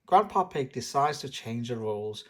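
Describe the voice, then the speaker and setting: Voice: medium pitch, expressive, moderate pace. A host reading a picture book aloud expressively for young children. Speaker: storybook channel host. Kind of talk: children's read-aloud narration.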